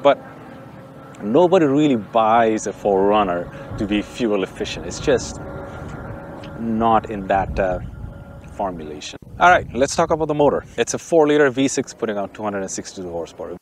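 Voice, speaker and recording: A man's voice: on the low side, lively intonation, moderate pace. A man from Bangladesh hosting a casual, joking car review vlog outdoors, with wind around him. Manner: chatty, joking, opinionated. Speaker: car channel host. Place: outdoors by a vehicle, windy. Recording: faint wind and outdoor noise. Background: wind and outdoor noise.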